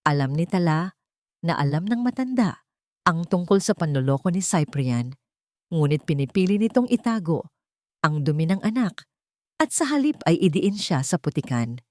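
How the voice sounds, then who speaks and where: A woman narrates a dramatic romance story from a script. Her voice sits a little low for a woman. The sound is dry, with no room echo. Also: sing-song, moderate pace, pauses often, darkish tone.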